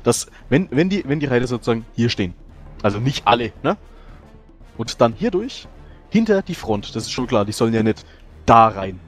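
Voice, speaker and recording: A man playing in a tabletop game, discussing battle strategy with quick restarts. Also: mid-range voice, very animated, soft background music. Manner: quick, restarts often, agreeable. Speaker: player.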